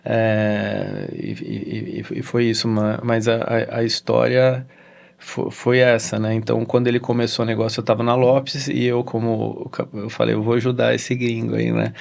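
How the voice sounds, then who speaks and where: A middle-aged Brazilian man with a Brazilian Portuguese accent, a guest in a casual interview, telling a story in halting sentences with frequent "né?" tags. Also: medium pitch, level tone, gravelly, a little mellow. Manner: halting, with many restarts and "né?" tags. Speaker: interview guest, real estate executive.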